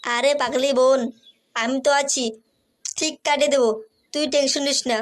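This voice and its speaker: A woman's voice: high-pitched, fairly even intonation, normal speed, lightly acted. A woman voicing a bossy, teasing elder sister who coaxes and advises in a comic cartoon. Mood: teasing, coaxing.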